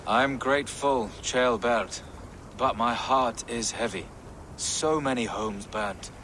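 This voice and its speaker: Bright-voiced, solemn medieval bishop. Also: male voice, mid-range voice, a little hiss or hum. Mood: gentle, sorrowful. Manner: measured, solemn, formal. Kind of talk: video game voice acting.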